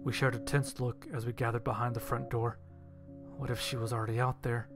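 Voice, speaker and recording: A man's voice: medium pitch, flat delivery, fairly quick. Man narrating a suspense story over music. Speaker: narrator of an audio horror story. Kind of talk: dramatic first-person story narration. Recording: music bed behind the voice.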